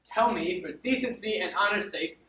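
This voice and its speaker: A man playing a wronged, pompous gentleman servant on stage, emphatic, formal and indignant. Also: high-pitched, animated, moderate pace. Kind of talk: theatrical stage acting. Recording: echoey stage, distant mic, faint noise.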